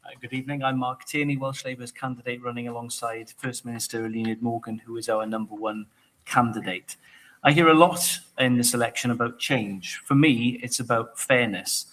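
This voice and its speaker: A man's voice: mid-range voice, steady tone, normal speed, a bit bright, poor audio. A man opening a hustings speech in a composed, rhetorical manner. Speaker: election candidate.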